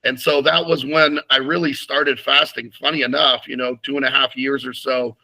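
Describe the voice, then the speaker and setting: A man with a very bright, forward voice speaks as an interview guest. He is a priest, and he talks frankly and haltingly about his early years, often restarting his sentences. His pitch sits in an ordinary middle range. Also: little pitch movement, low-quality recording. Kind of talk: casual interview conversation.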